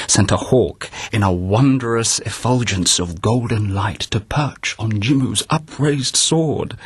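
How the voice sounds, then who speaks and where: An actor playing a mocking, sardonic courtier scholar in a radio drama, with a husky voice. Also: male voice, fairly low pitch, dry recording. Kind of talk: BBC radio drama acting.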